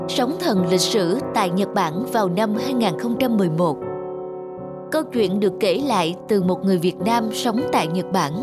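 A woman reading scripted narration of a moral tale. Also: medium pitch.

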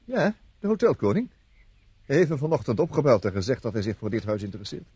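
An actor in a Dutch radio play as an evasive villa owner, polite but hesitant and blustering. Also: male voice, mid-range voice, big pitch swings, fast, dark tone, slightly rough. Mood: courteous, eager. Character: smooth-talking villa owner, evasive, indignant when challenged.

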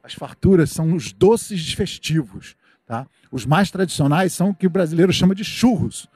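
A man's voice: fairly high pitch, animated, normal speed, darkish tone, breathy edge, no room sound, clean studio sound. A middle-aged man with a Brazilian accent hosting a live walking tour of a night-time Christmas fair outdoors, holding a handheld mic, chatty and upbeat, reading viewers' comments aloud. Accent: Brazilian Portuguese. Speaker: live-stream host and reporter. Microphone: handheld microphone.

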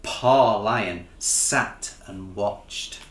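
Slow-paced man reading a storybook, roomy sound. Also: on the low side, a little hiss or hum. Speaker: author-illustrator reading a picture book. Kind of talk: expressive children's storybook narration. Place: small living room, indoors. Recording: roomy living-room sound.

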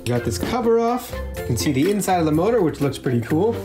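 A man, around forties, talking casually as host of a build-and-test vlog, in an animated, exclaiming way. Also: a little high, fast talker, over music. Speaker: host and inventor.

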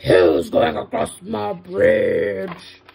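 A woman voicing a mean, hungry male troll in a storybook, shouting slowly and menacingly. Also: fairly low pitch, wildly swooping pitch, vocal fry, over music, voice pushed down, heavily acted. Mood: menacing, angry. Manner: slow, loud, menacing shouting.

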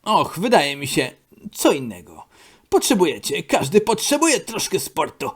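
A man voicing a bossy, upbeat male sports coach who shouts out counts and barks orders in an amateur cartoon dub. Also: high voice, very animated, measured pace, breathy edge, heavily acted. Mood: coaxing.

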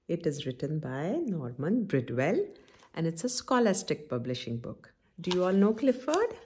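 A middle-aged woman reads a picture book to small children at an unhurried pace. Her slightly husky voice swings widely in pitch. She explains things simply and keeps putting questions to the listeners. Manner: expressive, instructive, addresses children with frequent questions. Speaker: children's story-time host. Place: indoor room at home, by a window.

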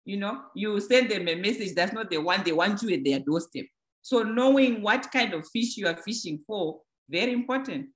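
A woman leads a church panel discussion. She sounds reflective and conversational as she teaches, and her voice has a medium pitch. The recording has a roomy echo around her voice. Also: animated, normal speed. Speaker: discussion moderator. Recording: echoey room.

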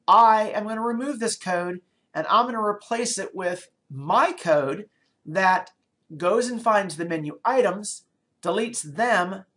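A middle-aged man teaches programming over a screencast. He talks from a small office into a desk webcam. He explains each step aloud and often pauses, restarts or adds fillers as he thinks. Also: high voice, a bit bright. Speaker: programming lecturer. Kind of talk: screencast coding tutorial.

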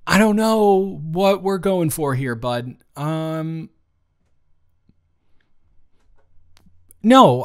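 A man improvising a romantic audio roleplay as an awkward, teasing young man talking to his best friend, with a hesitant, stammering delivery. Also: on the high side. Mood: confused, hesitant. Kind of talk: improvised romantic audio roleplay, intimate one-sided conversation.

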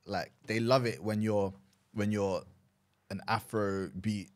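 A young man, a podcast host chatting in a relaxed, easygoing way. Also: fairly low pitch, slow pace. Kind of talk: casual chat. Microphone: studio mic on boom arm, headphones.

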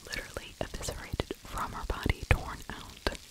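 A middle-aged woman narrates in a steady ASMR whisper, with no voice in it, only breath. She sits close to the microphone and talks in a conversational, opinionated way. Pauses break up her true crime storytelling. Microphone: close microphone with furry windscreen.

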